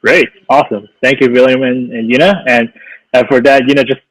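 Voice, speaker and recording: A man moderates an online panel in an easygoing way, often repeating words and adding fillers. His voice is mid-pitched, and the sound is dry with no room echo. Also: fairly even intonation, brisk, a bit bright.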